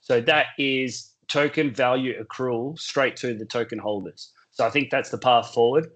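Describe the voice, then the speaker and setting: A man with a sore throat, losing his voice, talking through a solo market update in a measured, explanatory way. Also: medium pitch, fairly bright tone. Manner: measured, explanatory, works through points in numbered order. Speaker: crypto market commentator.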